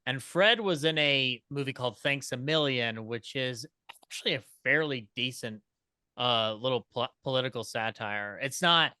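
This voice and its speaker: A man's voice: medium pitch, normal speed, bright, forceful tone, smooth, no echo, clean studio sound. A man chatting casually as a panelist, rambling and repeating words as he works out his point.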